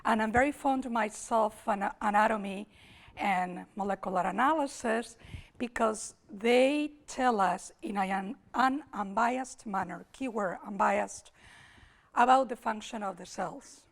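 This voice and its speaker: A woman with a Spanish accent, hoarse today, giving an academic lecture at a summer school, thinking aloud with restarts and repeated words. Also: medium pitch, unhurried. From Spain.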